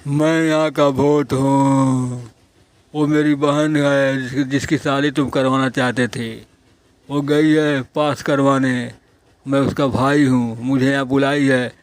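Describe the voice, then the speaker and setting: A man voicing a male ghost in a cartoon, a dutiful son who gives slow, drawn-out commands. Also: mid-range voice, clear, resonant tone, no room sound, natural voice. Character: male ghost, dutiful brother and son, demanding last rites. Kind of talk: Hindi cartoon story voice acting.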